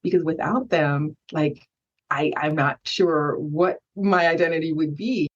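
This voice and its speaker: A woman's voice: deep voice, steady tone, unhurried. A Haitian-born woman, a guest consultant, talking emphatically in a casual interview and often tagging her points with "right?". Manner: emphatic, tags lines with "right?". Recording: muffled distant mic.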